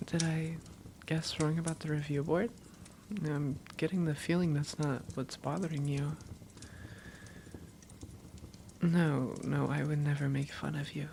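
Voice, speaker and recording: Woman playing creaky-voiced, affectionate vampire girlfriend. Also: sits low, little pitch movement, moderate pace, warm tone, a little hiss or hum. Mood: gentle, reassuring. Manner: playful, tender, soothing. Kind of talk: intimate roleplay audio addressed to a partner.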